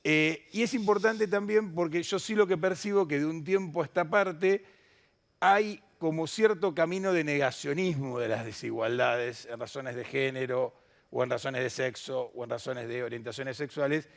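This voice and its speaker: A man's voice: a little high, fairly even intonation, moderate pace, creaky. A man, moderating a conference panel and speaking off the cuff. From Latin America. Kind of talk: unscripted conference panel discussion.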